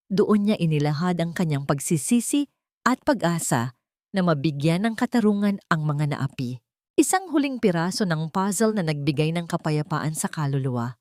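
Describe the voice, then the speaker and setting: A woman's voice: lowish voice, darkish tone, no room sound, clean studio sound. A woman reading scripted dramatic story narration in an earnest, sentimental manner. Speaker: narrator and host of a storytelling channel.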